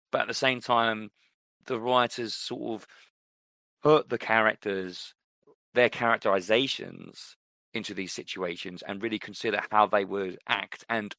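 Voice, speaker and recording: A man with a very low, gravelly voice that still has a bright, sharp edge, co-hosting a casual podcast. He rambles and restarts as he talks through his opinions. The sound is dry and close. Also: no echo. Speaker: podcast co-host. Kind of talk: casual chat.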